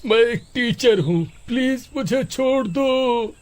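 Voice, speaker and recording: A man playing an excitable, hapless villager in an animated horror-comedy story, with a husky voice. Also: very high-pitched, slow pace. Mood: pleading.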